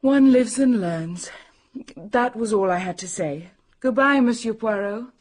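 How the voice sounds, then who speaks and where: An actress playing a composed, proud young woman in a radio drama, speaking in a poised, deliberate, formal way. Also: on the high side, expressive, darkish tone. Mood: wounded dignity.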